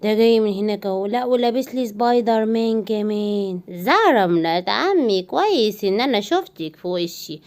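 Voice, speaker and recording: A woman's voice: mid-range voice, lively intonation, fairly quick, hardly any pauses, a little mellow, clear, resonant tone, natural voice. An Egyptian woman voicing a young male lion cub turned mean bully who threatens and brags in a cartoon. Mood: menacing. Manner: boastful, threatening.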